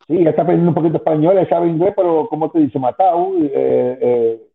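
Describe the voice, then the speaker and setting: A man's voice: on the high side, quick pace, vocal fry. A man in his fifties, an interview guest who rambles with many restarts and asides. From Spanish-speaking, moved to the US at ten. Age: 50s. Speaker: guest, former wrestling manager. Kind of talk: casual interview on a call. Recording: tinny phone line.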